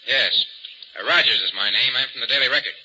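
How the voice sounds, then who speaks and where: Sharp-voiced, brash newspaper man. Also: mid-range voice, fast talker. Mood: skeptical. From New York. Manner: brusque, incredulous, bossy. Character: brash, skeptical, exasperated male newspaper reporter. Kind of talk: old-time radio drama acting. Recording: dull, noisy old radio recording.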